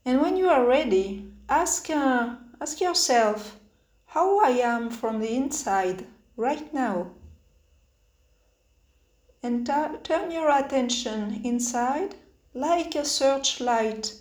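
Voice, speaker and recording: A woman leading a guided meditation with spoken instructions in halting phrases, pausing often between them. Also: high-pitched, lively intonation, a little mellow. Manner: hesitant, halting phrases with long pauses. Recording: roomy, echoing sound.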